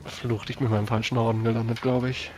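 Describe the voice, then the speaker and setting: A man's voice: medium pitch, flat delivery, fast talker, darkish tone, close to an everyday voice. A man playing a dry, world-weary warrior of a religious order in a tabletop roleplay, speaking bluntly. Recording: music behind.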